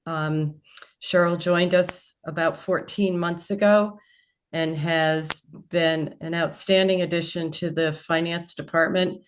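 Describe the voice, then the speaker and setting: A woman's voice: fairly low pitch, steady tone, slow pace, slight background noise. Friendly woman chairing a town meeting. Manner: friendly, self-deprecating, chatty with fillers. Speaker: chair of a town assessors board. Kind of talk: public meeting remarks.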